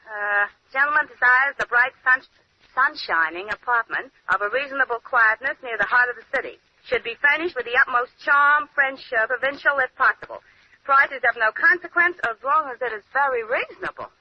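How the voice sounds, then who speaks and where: A woman's voice: high voice, brisk, close to an everyday voice. An actress playing a woman in a mystery drama, in old-time radio acting style. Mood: flippant, bored. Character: women in a radio mystery: flip clerk, troubled lady. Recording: muffled, noisy old radio broadcast.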